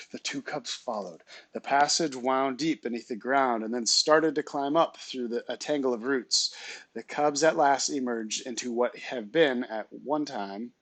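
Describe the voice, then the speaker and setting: A man's voice: mid-range voice, dry recording. Man reading a children's novel aloud, dry sound. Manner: expressive, dramatic storytelling.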